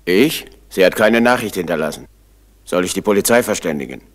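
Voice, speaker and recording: Detective's helpful buddy in old radio krimi, fairly low, plain talking. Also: male voice, lively intonation, brisk. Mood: matter-of-fact. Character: detective's plain-spoken, helpful male friend. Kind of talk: 1970 radio crime drama acting.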